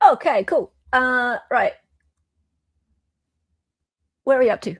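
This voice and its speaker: A woman's voice: sits high, moderate pace, breathy edge, no echo, studio quality. An Australian-accented woman hosting casual reaction commentary in a blunt, incredulous, sweary way. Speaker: host.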